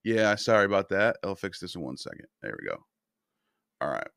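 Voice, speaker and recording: Easygoing male stream host, lowish voice. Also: sing-song, brisk, creaky, fairly bright tone, dry recording, clean studio sound. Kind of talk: casual live-stream chat, reading viewer comments.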